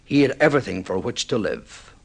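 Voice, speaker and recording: An actor playing a middle-aged film director, intense and mournfully insistent, with theatrical, deliberate delivery in vintage radio drama style. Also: male voice, medium pitch, animated, creaky. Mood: impassioned, persuasive.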